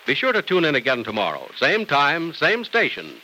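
A bright, forceful American man works as an old-time radio announcer. His highish voice swoops with animated emphasis, polished and salesmanlike, on a vintage broadcast recording. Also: normal speed, a little hiss or hum, low-quality recording.

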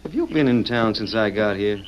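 An actor playing an eager, earnest young stagecoach driver in an old radio western, talking in a folksy way. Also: male voice, lowish voice, expressive, moderate pace, dull audio, close to an everyday voice. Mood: grateful, earnest. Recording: old radio recording with background noise.

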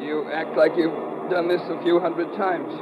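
A man's voice: fairly high pitch, little pitch movement, moderate pace, muffled recording, natural voice. An actor playing a plain-spoken man in an old-time radio adventure drama. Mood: weary, uncertain. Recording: very noisy old radio recording.

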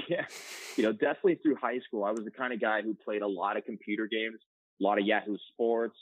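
A man's voice: fairly low pitch, steady tone, fast talker, dry recording. Man talking through a tinny phone line. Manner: rambling, filler-heavy, candid. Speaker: podcast guest. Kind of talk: casual interview storytelling.

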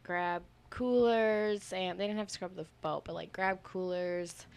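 A woman's voice: fairly low pitch, unhurried, creaky, darkish tone, clean tone. A woman co-hosting a casual podcast with friends, telling a story in a rambling, laid-back way. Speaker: podcast co-host. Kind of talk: casual chat among friends.

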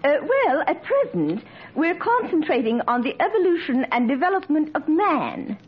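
A grand, pretentious matron from 1940s radio comedy. She gushes in an affected, high and very animated voice, on a muffled old recording with faint audience noise. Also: normal speed. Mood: politely lofty. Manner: gushing, highbrow, affected.

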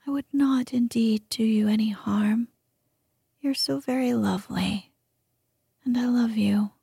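A woman voicing a devoted young male sunbeam spirit in an earnest, hushed whisper. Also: on the high side, measured pace, creaky, breathy edge, no echo, studio quality, full character voice. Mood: loving. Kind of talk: whispered ASMR storytelling.

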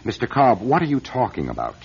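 An actor playing a skeptical, impatient corporate executive in a radio drama, dry, curt and dismissive. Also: male voice, mid-range voice, wildly swooping pitch, fast, creaky, breathy edge, heavily acted. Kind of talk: 1970s-style radio drama acting. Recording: dull lo-fi radio recording.

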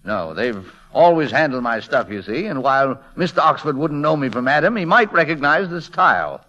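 A proud, indignant painter husband in an old radio comedy. The voice is sharp and bright, swooping with theatrical bluster, on a muffled vintage recording. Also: male voice, medium pitch, very animated, normal speed. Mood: flustered, indignant. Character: proud, flustered, indignant painter husband claiming secret fame. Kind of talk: 1940s radio comedy acting.

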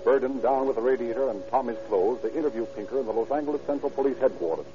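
A man's voice: mid-range voice, rapid-fire, background noise. A man narrating an old-time radio crime drama in a formal, dramatic announcer's delivery. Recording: dull vintage radio recording. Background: music.

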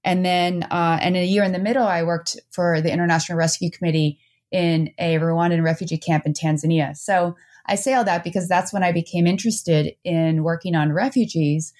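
Brief: woman, 40s, low-ish voice, thoughtful halting guest answering from home. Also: steady tone, a little mellow. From Netherlands and New Jersey. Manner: hesitant, many restarts and fillers. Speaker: author and anthropology professor, guest. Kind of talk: casual interview answers. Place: home, speaking remotely.

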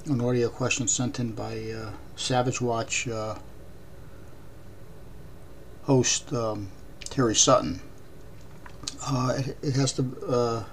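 A man hosting a podcast, raspy voice, talking haltingly with many ums and uhs. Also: mid-range voice, slight background noise. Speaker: podcast host. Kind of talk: casual podcast commentary.

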